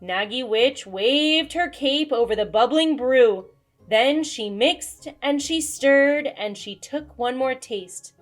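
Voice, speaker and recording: Woman storyteller, high voice, very lively intonation, slow deliberate delivery, children's read-aloud. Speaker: children's book reader. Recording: music behind the voice. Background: music.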